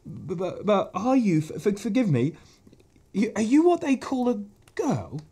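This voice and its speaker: A man's voice: high voice, big pitch swings, unhurried, dark tone, breathy edge, pitched above the performer's own voice, heavily acted. A man doing a nervous stammering faun. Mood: flustered. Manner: stammers and repeats words anxiously. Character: timid, stammering male faun, polite and nervous.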